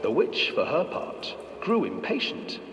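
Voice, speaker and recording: Slow, sinister storyteller in echoey hall. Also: male voice, on the high side, wildly swooping pitch. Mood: ominous storytelling. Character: sinister, dramatic fairy-tale storyteller. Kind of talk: theatrical scripted fairy-tale narration. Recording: echoey hall, distant, music behind.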